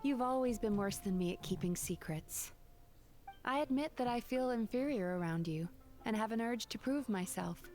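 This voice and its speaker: An actress playing a composed, gentle-mannered young woman therapist in a video game, speaking in measured, formal phrases. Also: a little high, lively intonation, natural voice. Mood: earnest. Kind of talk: scripted video game dialogue. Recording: game music behind the voice.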